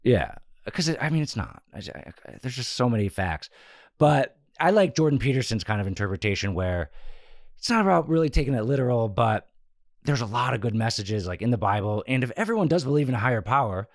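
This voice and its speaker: Lively young man hosting a podcast. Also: medium pitch, fairly quick, dry recording, clean studio sound. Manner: eager, questioning, lots of "like" and fillers. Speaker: podcast host. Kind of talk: casual interview conversation.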